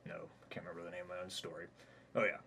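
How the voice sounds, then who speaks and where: A man reading his own comic story aloud in a deadpan, rambling narration. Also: sits low, very fast, vocal fry, slight background noise.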